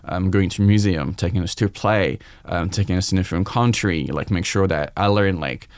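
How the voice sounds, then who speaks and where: Low-voiced French man, reflective. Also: brisk, no echo. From France. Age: 40s. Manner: reflective, open, quoting his own thoughts aloud. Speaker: guest chef. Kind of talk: candid podcast conversation.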